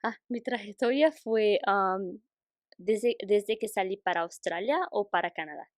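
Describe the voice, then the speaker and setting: A young adult woman whose first language is Portuguese, a guest and international student, speaking carefully and pausing to search for words. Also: mid-range voice, no echo, studio quality. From Brazil. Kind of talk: casual interview chat.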